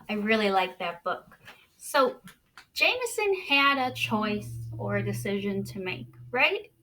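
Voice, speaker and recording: A woman with a fairly high voice speaks very slowly, as a teacher does with young children. She is expressive, pauses for drama and puts questions to her listeners. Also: poor audio. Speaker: teacher reading a picture book aloud. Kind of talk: expressive read-aloud for children.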